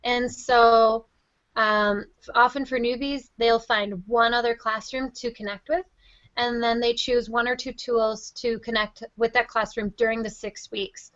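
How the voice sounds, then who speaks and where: A woman's voice: on the high side, steady tone, unhurried, fairly bright tone, no room sound, highs cut off. A woman giving enthusiastic, effusive, conversational answers as an interview guest. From Wisconsin, United States. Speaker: interview guest, teacher and reading project founder.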